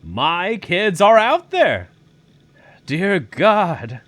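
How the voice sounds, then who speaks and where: An actor playing a stern, anxious man in charge, speaking gravely in a dramatized screenplay. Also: high-pitched, sing-song, very slow, fairly bright tone, no echo, exaggerated voice. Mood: anxious, impatient.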